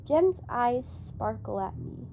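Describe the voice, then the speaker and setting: A woman reading a novel in verse aloud as an amateur, with a halting delivery that stumbles and repeats phrases. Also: on the high side, animated, vocal fry, dark tone, a little hiss or hum. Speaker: amateur book reader.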